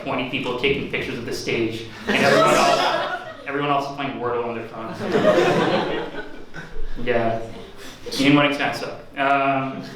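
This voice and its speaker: Young man doing deadpan stand-up, echoey. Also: mid-range voice. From Singapore. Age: young adult. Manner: deadpan, dark jokes. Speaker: student stand-up comedian. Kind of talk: open mic stand-up set. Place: student forum room. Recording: echoey room from far off, audience noise.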